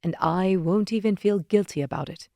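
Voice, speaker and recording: Brooding powerful man, low and deadpan, unbothered , as a woman narrator voices him; dry close read. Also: normal speed, vocal fry, dry recording, close to an everyday voice. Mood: calm. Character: brooding, possessive ruler man, coolly dry.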